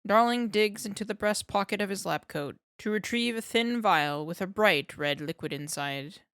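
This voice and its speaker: A woman reading fan fiction aloud in a steady, measured narration. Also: medium pitch, no echo, clean studio sound. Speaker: podfic reader.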